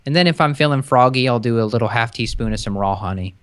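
A young man talking as a podcast guest over a video call from his kitchen, relaxed and chatty, explaining in long rambling runs into a close desk mic. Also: mid-range voice, fast, clean tone, no echo. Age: young adult. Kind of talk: casual podcast chat.

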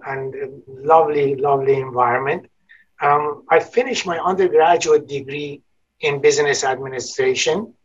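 A man's voice: a little high, fairly bright tone, breathy edge. An older man from northern Iran with a Persian accent, a guest telling his life story in an earnest, formal way with frequent hesitations. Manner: earnest and formal, with frequent ums and repeated words. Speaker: guest, business college dean. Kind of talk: interview storytelling. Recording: dull lo-fi sound.

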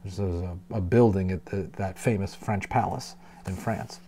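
A man reading a storybook aloud in an expressive, dramatic narrating style. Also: low-pitched, normal speed, breathy edge. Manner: dramatic, with brief explanatory asides. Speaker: audiobook reader, storyteller.

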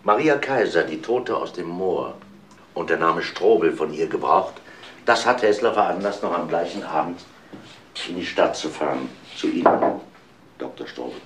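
A man acting a low, insistent police inspector. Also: expressive, a bit bright, a little hiss or hum. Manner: deliberate, emphatic interrogator. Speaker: actor in an amateur crime audio play. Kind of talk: German radio crime drama acting.

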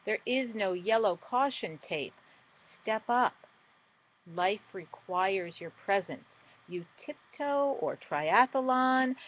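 Slow, dramatic woman reciting spoken-word poetry. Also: medium pitch. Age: middle-aged. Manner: dramatic, deliberate phrasing with emphatic swells and hushed drops. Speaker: poet. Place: small indoor room at home. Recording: dull, lo-fi webcam sound.

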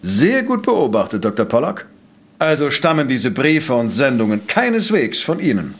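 An actor playing a shrewd, self-assured professor who investigates crimes in a German audio drama, with formal, elaborate phrasing. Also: male voice, medium pitch, very animated, moderate pace. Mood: probing, assured. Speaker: detective professor.

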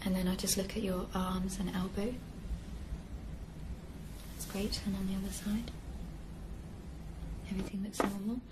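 A woman's voice: medium pitch, level tone, normal speed, pauses often. A young woman giving step-by-step instructions as a clinician examining a patient. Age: young adult. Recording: noisy office room recording.

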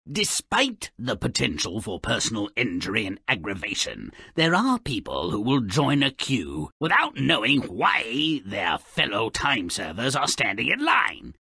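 A man voicing a mischievous, grumpy little creature as a sardonic video game narrator, with a gravelly, raspy voice and a mocking, grandiloquent manner. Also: mid-range voice, sharp tone, dry recording, studio quality, full character voice. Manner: mocking, grandiloquent, relishing misfortune.

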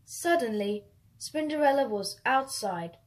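Woman storyteller, husky voice, slow, very expressive, children's picture-book read-aloud. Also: fairly high pitch. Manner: expressive and unhurried.

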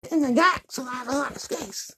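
A man's voice: very high-pitched, a little fast, pushed and bright, slightly rough, voice pushed up, heavily acted. A man voicing a sassy, chatty young girl puppet in a childlike, fussy manner for a puppet show.

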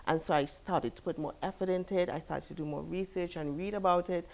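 A woman from Trinidad and Tobago in her thirties, a studio interview guest talking in a relaxed way with lots of "you know" and "like". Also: lowish voice, a little fast, gravelly. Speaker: guest, fitness expert. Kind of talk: casual interview talk. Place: TV studio, seated.

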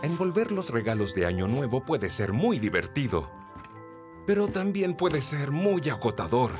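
A man's voice: medium pitch, very animated, normal speed, over music. A man with a Latin American Spanish accent reading scripted narration for a children's cartoon.

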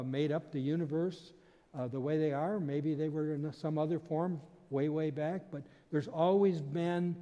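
Older male pastor, earnest sermon delivery, slightly high and mellow voice. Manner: earnest storytelling preacher, frequent restarts. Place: church sanctuary stage, indoors. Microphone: stand mic at pulpit. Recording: long hall reverb.

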